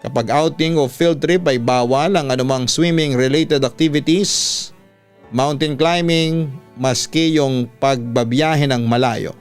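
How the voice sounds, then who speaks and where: A man's voice: mid-range voice, unhurried, clean tone. A Filipino man, a radio host, narrating a listener's story in a conversational way, mixing in some English. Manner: conversational Tagalog-English code-switching. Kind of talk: scripted first-person story narration, reading a listener's letter. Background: background music.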